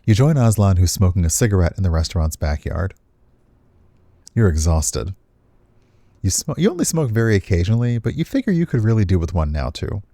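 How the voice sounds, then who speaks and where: A man's voice: deep voice, big pitch swings, brisk, warm tone, no echo. A man who streams games, reading a visual novel aloud and adding casual, playful commentary. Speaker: game streamer.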